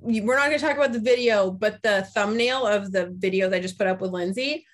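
A woman's voice: mid-range voice, fast talker. A woman in her forties hosting a casual group chat over a video call, telling stories and acting out what people said. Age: 40s. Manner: chatty, animated storyteller who acts out quoted people. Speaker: podcast host. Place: home room, indoors.

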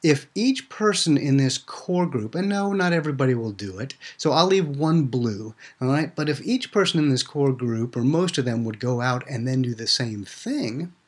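A man narrating a slide presentation in a measured, conversational, explanatory teaching manner. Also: mid-range voice, lively intonation, slightly rough. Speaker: ministry presenter and seminary student.

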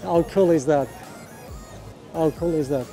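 Enthusiastic middle-aged man, higher-pitched voice. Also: measured pace. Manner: enthusiastic, exclaiming, often repeating phrases. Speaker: vlogger and walking tour guide. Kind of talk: casual walking tour commentary. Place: busy outdoor street market. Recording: outdoor crowd noise, background music. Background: music and crowd chatter.

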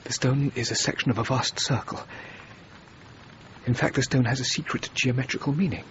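An actor playing an earnest, self-doubting male architect in a radio drama, with a halting, thoughtful delivery. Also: mid-range voice, steady tone, a bit bright, dull audio, slight background noise, lightly acted. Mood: earnest, visionary. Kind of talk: BBC radio drama acting.

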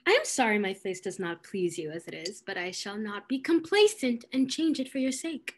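A woman plays a sharp-tongued young lady of period stage comedy. She is proud and disdainful, speaking at a medium pitch with cool, pointed theatricality. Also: animated, moderate pace, close to an everyday voice. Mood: disdainful, teasing. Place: indoors at home on video call.

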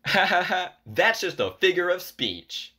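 A man plays a jovial race commentator in a radio comedy. His voice is forceful, somewhat high and raspy, with lively announcer swings. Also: measured pace, full character voice. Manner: announcer-like, animated. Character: excitable male sports commentator, jovial. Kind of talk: mock sports commentary in radio play.

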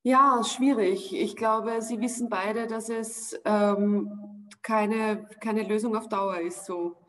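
A woman in her forties with an Austrian accent, an actress answering interview questions thoughtfully over a video call, pausing to search for words. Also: mid-range voice, steady tone, a bit bright, rough recording. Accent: Austrian German. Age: 40s. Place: home room with wood panelling, indoors. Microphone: video call webcam.

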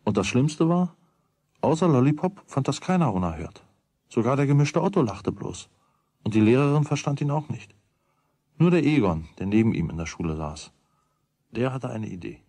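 A man with a husky voice narrating a children's radio play. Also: medium pitch, lively intonation, moderate pace, mellow, dry recording. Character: storyteller of a children's tale.

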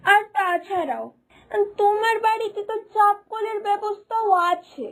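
A scolding village neighbour from a cartoon, acted by a woman, has an extremely high-pitched voice. She is bossy and opinionated, nagging like someone who always knows better. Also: exaggerated voice. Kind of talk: dramatised cartoon dialogue.

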